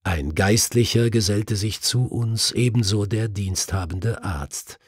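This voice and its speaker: Slow, husky, low-voiced male narrator. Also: no room sound, clean studio sound. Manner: measured, formal. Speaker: audiobook narrator. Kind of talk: scripted literary narration of a detective story.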